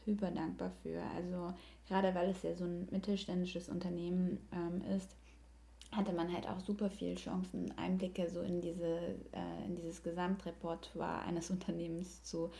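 A young woman speaks in a fairly low, mellow voice. It often drops into a gravelly creak. She chats casually and reflectively, as a guest on a podcast. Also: flat delivery, normal speed. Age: young adult. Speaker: podcast guest, yoga teacher and coach.

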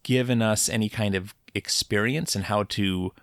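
A middle-aged man with a lowish voice chats easily as a podcast co-host. He rambles and restarts his thoughts, and the close, dry recording has no room sound. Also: no echo. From Puget Sound, Washington. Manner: relaxed, rambling, halting restarts. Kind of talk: casual chat.

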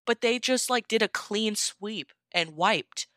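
Bright, clear-voiced woman chatting as guest. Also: medium pitch, slow pace, no room sound, clean studio sound. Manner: earnest, explanatory, peppered with "like". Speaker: podcast guest. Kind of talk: casual chat.

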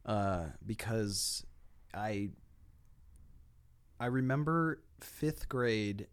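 A man with a lowish, slightly hoarse voice chats in an easygoing way. He muses aloud, trailing off with fillers as he picks his favorites. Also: expressive, extremely slow. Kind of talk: casual chat.

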